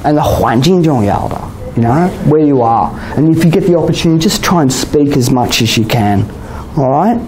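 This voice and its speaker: A man with an Australian accent giving a relaxed exam-prep lecture to a class, often checking in with "okay?" and "you know?". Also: fairly high pitch, brisk, a little hiss or hum, low-quality recording. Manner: chatty, many "okay?" and "you know?" tags, laughs often. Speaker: IELTS teacher.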